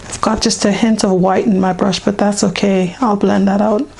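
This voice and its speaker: A woman whose voice is hoarse and partly gone, in a mid-range pitch. She guides a beginner through each step of a painting in an easy, unhurried way, with frequent ums as she works. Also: level tone, darkish tone, slight background noise. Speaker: painting teacher. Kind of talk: casual step-by-step painting demonstration.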